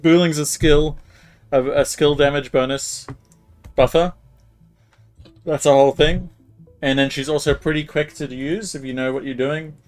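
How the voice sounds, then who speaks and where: A man casually commenting on a live game stream, rambling as he thinks out loud. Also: a little high, fairly even intonation, normal speed, pauses often, faint music behind.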